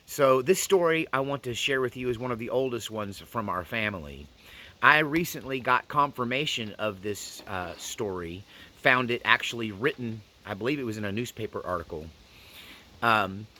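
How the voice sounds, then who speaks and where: A man hosts a casual storytime in a voice of middling pitch with a bright, firm edge. He talks without a script in a folksy manner, circling back to repeat phrases so that they land. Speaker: storyteller and host. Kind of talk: casual first-person storytelling to camera.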